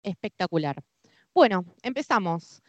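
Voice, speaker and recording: A woman's voice: medium pitch, sing-song, normal speed, dry recording. A woman with a Rioplatense Spanish accent hosting a conversational interview podcast in an enthusiastic manner. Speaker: podcast host.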